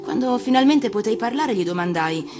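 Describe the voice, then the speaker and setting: A woman actor reading a storybook for radio, expressive and theatrical, with deliberate phrasing. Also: fairly high pitch, music in the background. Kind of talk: expressive scripted storybook narration.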